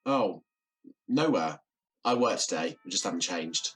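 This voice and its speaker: An actor playing an awkward office worker in an audio drama, hesitant, apologetic and understated. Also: male voice, low voice, animated, a little slow, fairly bright tone, slightly rough, faint music behind, heavily acted. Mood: awkward, mild. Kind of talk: naturalistic audio drama acting.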